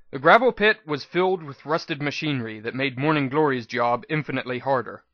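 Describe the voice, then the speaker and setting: A man giving an expressive, emphatic first-person dramatic reading of a story. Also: mid-range voice, dry recording, dull audio. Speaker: amateur audiobook reader.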